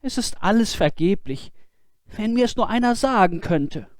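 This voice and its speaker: A man's voice: sits high, lively intonation, measured pace, dry recording, studio quality, voice pushed up. A man voicing a cheerful, naive, fearless youth in a fairy tale, with a bold, carefree, exclaiming manner. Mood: wistful complaint. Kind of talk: fairy-tale storybook character voice.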